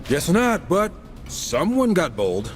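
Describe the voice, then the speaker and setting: A man's voice: fairly high pitch, huge pitch swings, slow pace, faint music behind, full character voice. An actor playing a cocky, wisecracking supernatural horseman in a video game, sarcastic and casual. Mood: wry. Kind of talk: video game cutscene acting.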